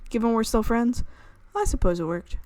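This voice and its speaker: A woman's voice: fairly high pitch, big pitch swings, moderate pace, darkish tone, no room sound. A woman game streamer reading a visual novel aloud, playfully stumbling over words and breaking into casual asides. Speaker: gaming channel host.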